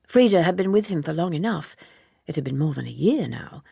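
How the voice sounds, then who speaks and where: Low-pitched woman narrating, slightly husky. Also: sing-song, brisk, warm tone, no echo, clean studio sound. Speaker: audiobook narrator. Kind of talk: scripted literary narration.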